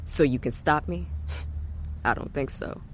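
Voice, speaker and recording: A woman's voice: low voice, unhurried. A woman playing a bitter, threatening space-fleet officer in an audio drama, with a sarcastic, commanding manner. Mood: angry, threatening. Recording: faint background noise.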